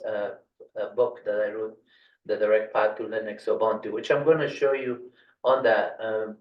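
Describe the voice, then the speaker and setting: Instructor, male, born in Afghanistan, native Dari, filler-heavy delivery, roomy distant dull mic. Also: lowish voice. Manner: halting, many fillers and restarts. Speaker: tutorial instructor. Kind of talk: screen-share tech tutorial.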